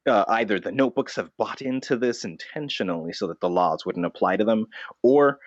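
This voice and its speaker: A man playing a vengeful, self-serious vigilante notebook disguised as a bat, deadpan in an improvised comedy interview. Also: lowish voice, brisk, no room sound, lo-fi sound. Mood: indignant, scheming.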